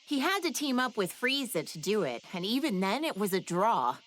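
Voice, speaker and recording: A woman's voice: medium pitch, sing-song, normal speed, a bit bright. A woman voicing a confident, discerning adult character who appraises fighters in a scripted video game. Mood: assured, appraising.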